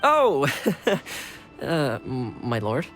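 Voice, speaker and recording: Very expressive, polite young retainer. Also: male voice, mid-range voice, drawn-out words, faint music behind, exaggerated voice. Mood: flustered, admiring. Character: loyal, polite, good-natured retainer to a lord. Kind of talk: video game character acting.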